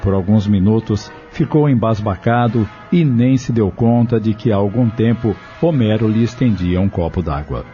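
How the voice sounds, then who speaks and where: A man's voice: lowish voice, moderate pace, dark tone. A man reading scripted literary narration for an audio drama in a formal storytelling manner. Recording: dull lo-fi audio drama recording. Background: prominent background music.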